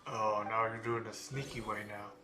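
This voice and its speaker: A man's voice: fairly low pitch, flat delivery, moderate pace. A man giving casual gameplay commentary as a streamer. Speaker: game streamer. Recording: echoey room, game music behind.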